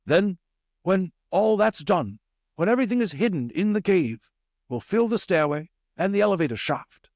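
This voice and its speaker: A man voicing a weary, commanding rat leader in a storybook, giving deliberate, urgent orders. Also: high voice, lively intonation, darkish tone, dry recording, studio quality, voice pushed up.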